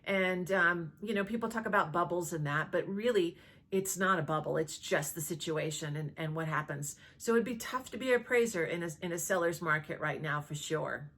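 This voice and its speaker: A woman real estate agent talking conversationally to camera, explaining as she goes and often checking in with a quick questioning tag. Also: lowish voice, fairly bright tone. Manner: conversational, checks in with rhetorical "right?".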